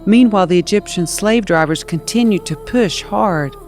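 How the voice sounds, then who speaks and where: A woman narrating scripture as an audio Bible reader, in an expressive, measured storytelling style. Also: medium pitch, faint music behind.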